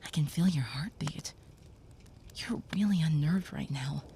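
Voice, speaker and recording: Woman as a young female vampire, protective, soft-spoken, reassuring, low voice, faint ambience. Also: measured pace, a little hiss or hum. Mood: gentle. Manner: soft, reassuring, sometimes whispering. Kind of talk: audio roleplay to the listener.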